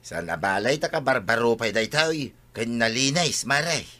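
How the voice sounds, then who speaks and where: A man's voice: mid-range voice, lively intonation, moderate pace, pushed and bright. A man with an Ilocano accent acting a brash, argumentative village man in a comedy drama. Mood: protesting.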